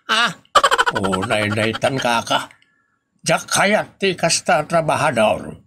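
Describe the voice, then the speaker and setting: A man's voice: medium pitch, sing-song, takes it slowly, heavy background noise. A man with an Ilocano accent playing a bossy boss who scolds workers in a comedy audio drama. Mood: scolding. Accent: Ilocano, northern Philippines. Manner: scolding, emphatic. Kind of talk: comedy radio drama dialogue.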